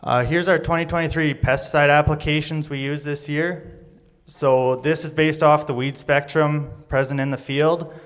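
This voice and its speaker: A man's voice: fairly high pitch, steady tone, moderate pace, smooth. Young guy from saskatchewan reading crop numbers off slides, long hall echo. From Saskatchewan, Canada. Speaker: agriculture student presenter. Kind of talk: class presentation from slides. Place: college auditorium stage.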